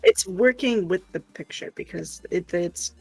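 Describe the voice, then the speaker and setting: A woman chatting casually as a stream co-host, halting and repeating words as she goes. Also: fairly low pitch, creaky, darkish tone, husky, dry recording, low-quality recording. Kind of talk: casual chat.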